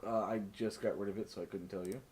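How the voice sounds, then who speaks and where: A man's voice: fairly low pitch, fairly even intonation, fast, darkish tone, slight background noise. A man hosting a tabletop game stream as game master, talking casually and jokily with plenty of swearing. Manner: profane, joking, laughs often.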